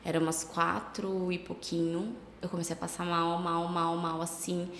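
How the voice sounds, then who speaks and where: A Brazilian woman with a slight cold, a travel agency owner chatting casually as a podcast guest, relaxed and full of fillers. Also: on the low side, moderate pace, slight background noise. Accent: Brazilian Portuguese. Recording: echoey room with long reverb.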